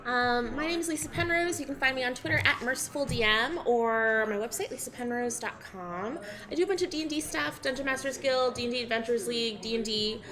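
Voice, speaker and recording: A woman's voice: a little high, fairly quick, hardly any pauses. A woman chatting casually as a guest player at a live tabletop game. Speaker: guest player, podcast host. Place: busy gaming convention, live event. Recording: live convention hall with crowd noise.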